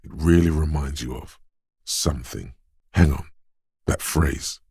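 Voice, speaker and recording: An actor playing a detective's quick-witted, suspicious inner voice of intuition in a video game, narrating in a measured, knowing, urging manner. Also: male voice, darkish tone, dry recording, studio quality, heavily acted. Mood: wry, suspicious. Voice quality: very deep, smooth, resonant. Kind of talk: scripted game narration, second-person inner voice.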